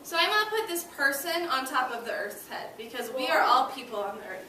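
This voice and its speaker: A woman with a high voice leads a read-aloud lesson for young children as their schoolteacher. She instructs and prompts them to respond. The recording is distant from the microphone, with clear room noise. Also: sing-song, a little fast, pushed and bright. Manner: instructive, prompting children to repeat after her. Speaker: elementary school teacher. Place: indoor school classroom. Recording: noisy echoey classroom, distant microphone.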